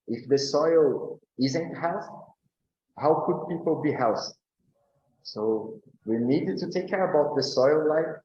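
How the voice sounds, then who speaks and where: A man from Brazil, speaking on a panel in slow, halting English with many fillers and restarts. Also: mid-range voice, darkish tone. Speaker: agroecology speaker. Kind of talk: panel talk answering questions. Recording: roomy, dull recording.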